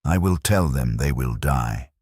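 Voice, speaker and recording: A man's voice: a bass voice, expressive, unhurried, a little mellow, breathy edge, dry recording, clean studio sound, exaggerated voice. A man voicing an earnest, loyal young soldier in a narrated war story, solemn and insistent. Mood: solemn resolve.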